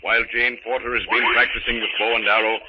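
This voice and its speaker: A man's voice: medium pitch, level tone, fast, lightly acted. A man narrating a jungle adventure serial in an earnest, dramatic 1930s radio style on a muffled, hissy recording. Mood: earnest, suspenseful.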